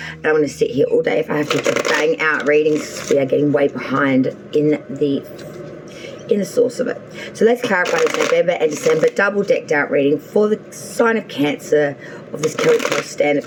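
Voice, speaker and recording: A woman's voice: low-pitched, background noise. A woman doing a casual tarot reading, chatty and thinking aloud as she draws cards, with an offhand swear now and then. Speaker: tarot reader.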